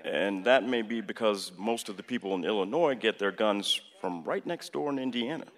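A wry American president, played by a male actor in a radio drama, with a fairly low voice that rings in a big reverberant space. He is genial and persuasive, debating with a joking edge. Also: normal speed, close to an everyday voice. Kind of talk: conversational stage-style radio drama acting. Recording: reverberant hall-like room.

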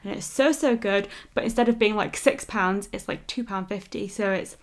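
Keen, expressive young British woman. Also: mid-range voice, normal speed. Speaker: podcast co-host. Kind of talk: casual chat.

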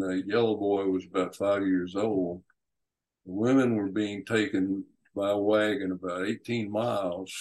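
A man, an author and guest, telling history unscripted in a halting, deliberate way with frequent pauses and hesitations. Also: on the low side, darkish tone, low-quality recording.

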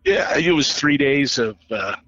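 An older man with a husky but sharply bright voice tells stories in an easygoing way. He chuckles often as he talks. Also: medium pitch, lively intonation, measured pace, dry recording, lo-fi sound. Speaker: guest. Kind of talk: casual chat.

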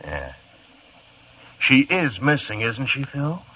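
A sly husky police lieutenant. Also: male voice, mid-range voice, sing-song, slow pace, exaggerated voice. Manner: drawling, insinuating. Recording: tinny old radio broadcast recording.

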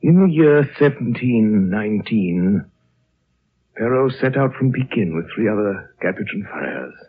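Ancient gentle lama, deep and breathy, learned and courteous, vintage narrow-band radio drama. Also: male voice, expressive. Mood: measured, scholarly. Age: older. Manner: slow, courteous, measured storytelling. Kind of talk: 1930s radio drama acting. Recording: muffled, narrow-band old radio broadcast.

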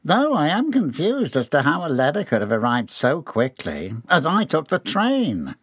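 A man's voice: a little high, wildly swooping pitch, normal speed, husky, no echo, studio quality, voice pushed up, heavily acted. Man playing a whiny, clueless male underling. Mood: sheepish, defensive. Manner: whiny, confused, hesitant. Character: hapless, whining man, clueless employee feigning innocence. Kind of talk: dramatised audiobook dialogue.

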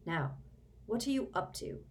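A woman's voice: mid-range voice, sing-song, a little slow, voice pushed up. A woman reading aloud and voicing a cold, irritable grown man who speaks curtly and with disdain. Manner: curt, snapping, disdainful.

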